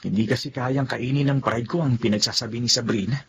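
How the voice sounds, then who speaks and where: A man's voice: mid-range voice, fairly even intonation, fast talker, slight background noise, natural voice. A man voicing a proud young student in a radio drama, often hesitant and self-conscious.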